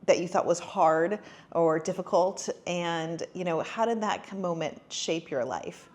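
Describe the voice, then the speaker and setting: A woman's voice: fairly low pitch, unhurried, a little mellow. Encouraging woman hosting a school interview. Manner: encouraging, affirming with "yeah" and "right". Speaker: interviewer, school series host. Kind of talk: friendly interview.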